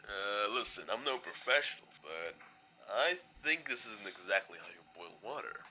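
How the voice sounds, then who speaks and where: A man in a fan audio drama playing a gentle, weary, laid-back male skeleton, speaking in a hesitant, casual, understated way. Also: lowish voice, animated, normal speed, noisy background, natural voice. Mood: dry, bemused.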